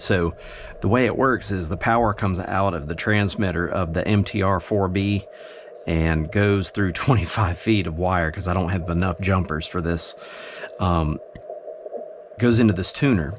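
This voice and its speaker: A man giving a casual, unscripted hobby demonstration, explaining his gear haltingly as he thinks aloud. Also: low voice. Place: indoors in a home radio shack. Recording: clear steady background noise.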